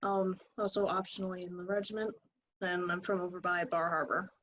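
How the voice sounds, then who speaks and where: A woman's voice: on the low side, level tone, a little fast, a bit bright, dry recording, dull audio. A young woman, a college student, introducing herself casually. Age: young adult.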